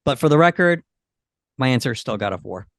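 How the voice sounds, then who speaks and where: A man hosting a casual podcast about movies, thinking aloud in a halting way. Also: mid-range voice, expressive, dry recording, studio quality. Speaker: podcast host.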